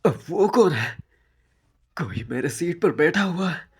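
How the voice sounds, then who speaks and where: A man playing a gentle, anxious, polite teenage schoolboy, thinking aloud hesitantly in an anime fan dub. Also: on the high side, expressive, slow pace, breathy edge, heavily acted. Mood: worried. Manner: hesitant, worried inner monologue.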